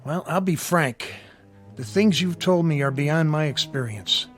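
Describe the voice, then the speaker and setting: An actor playing a frank, world-weary army medical sergeant in a war radio drama. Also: male voice, a little high, unhurried, faint music behind, close to an everyday voice. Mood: frank, puzzled. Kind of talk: audio drama acting.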